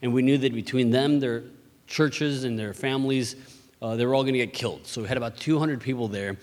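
A man's voice: mid-range voice, a little fast, a little mellow. A man from Mexico who leads a church missions ministry, giving an earnest presentation with frequent restarts and repeated words. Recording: long hall reverb.

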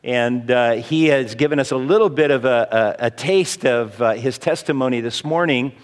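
A man who appears to be the pastor introduces a guest speaker at a church service, pausing often with fillers. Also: mid-range voice. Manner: halting, with many fillers. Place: church hall. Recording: long reverb of a hall.

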